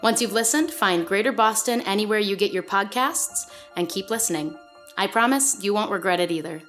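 A woman's voice: mid-range voice, fairly quick, fairly bright tone. A woman giving a scripted podcast introduction as the show's producer. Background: music.

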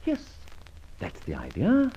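An actor playing a witty, debonair gentleman adventurer in an old-time radio drama. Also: on the high side, huge pitch swings, unhurried, slight background noise. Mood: musing, wary. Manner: debonair, flippant, bantering. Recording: dull old radio recording.

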